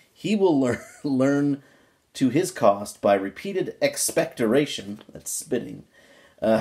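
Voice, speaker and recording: A man reads aloud from an old book in an amateur dramatic style, at a middling pitch. He leans on certain words for emphasis. Now and then he drops into a wry, muttered aside of his own. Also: warm tone.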